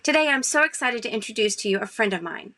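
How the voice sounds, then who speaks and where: A woman's voice: fairly high pitch, expressive, fast talker, pushed and bright. A woman from Arkansas hosting a podcast with an upbeat, enthusiastic delivery. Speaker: podcast host. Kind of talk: scripted intro and friendly interviewing.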